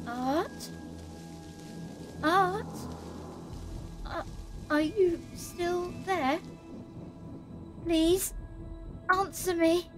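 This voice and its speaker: A woman's voice: very high voice, extremely slow, long pauses, exaggerated voice. A woman playing a frightened, pleading young victim in an audio drama, with a tremulous, panicky delivery. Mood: anxious, pleading. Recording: music behind the voice.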